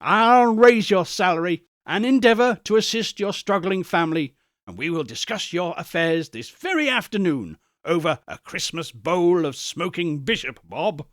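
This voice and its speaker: A man voicing an old miser employer in an audiobook, theatrical and emphatic, swinging between mock gruffness and hearty joy. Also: high-pitched, animated, normal speed, fairly bright tone, no echo, clean studio sound. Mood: jubilant, hearty. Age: older. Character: gruff-pretending, then exuberantly jolly old miser employer. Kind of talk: dramatic audiobook character reading.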